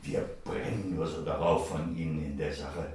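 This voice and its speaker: An actor playing a genial, conciliatory middle-aged husband in a German radio drama. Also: male voice, extremely low pitch, steady tone, normal speed, darkish tone. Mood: hearty, eager. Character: genial, hard-up husband, drinker, pleading with his wife. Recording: very echoey, slightly distant, faint noise.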